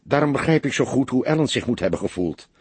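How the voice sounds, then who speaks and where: A man's voice: medium pitch, animated, quick pace, breathy edge, no echo, voice pushed up. A man voicing a young unmarried mother, upset, defensive and bitter about men, in an audiobook. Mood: bitter, scornful. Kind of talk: audiobook character dialogue.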